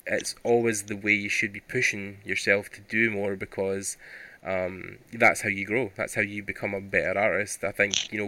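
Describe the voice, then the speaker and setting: Bright, forceful low-voiced man in podcast conversation. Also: poor audio. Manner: earnest, rambling, self-reflective, leaning on "you know". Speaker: podcast host and artist.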